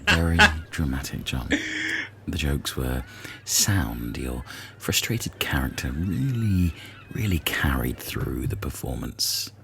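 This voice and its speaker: A man voicing an odd, deadpan male talent show judge who talks slowly and half whispers. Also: rumbling low, gravelly, slight background noise, exaggerated voice. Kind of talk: comedy audio sketch.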